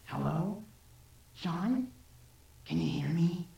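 A woman's voice: on the low side, animated, takes it slowly, gravelly, mellow, full character voice. A woman playing a mysterious trapped captive in an audio drama, pleading and persuasive, her voice echoing from a distance.

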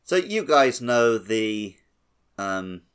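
A man's voice: lowish voice, very animated, extremely slow, fairly bright tone, clean tone. A man co-hosting a casual gaming podcast, chatting in a jokey, self-deprecating way. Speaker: podcast co-host. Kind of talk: casual chat about a video game.

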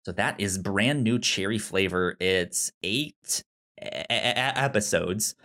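A man co-hosting a casual review podcast, talking in a halting way with some stammering. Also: fairly low pitch, bright, forceful tone. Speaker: podcast co-host.

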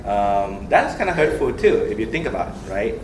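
Young Thai man, lowish voice, roomy echo. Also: normal speed, noisy background. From Thailand. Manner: earnest, animated, laughs often, many fillers. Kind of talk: personal sharing in a group discussion. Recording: roomy echo with clear background ambience.

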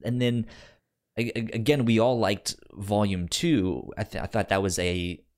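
A man co-hosting a casual review discussion, halting and repeating words as he thinks out loud. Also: lowish voice, steady tone. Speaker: podcast co-host reviewing comics.

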